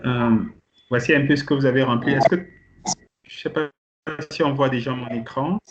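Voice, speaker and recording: A man's voice: mid-range voice, steady tone, normal speed. A man leading an online training kickoff meeting, presenting to participants with many hesitations and restarts. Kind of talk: presenting to participants on a video call. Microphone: video call. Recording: muffled call audio with faint noise.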